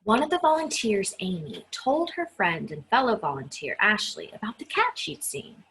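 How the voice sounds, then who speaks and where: A woman reading a children's story aloud in an expressive, chatty style, stressing key words with dramatic emphasis. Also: medium pitch, moderate pace, slight background noise, rough recording. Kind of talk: expressive read-aloud with chatty asides to listeners.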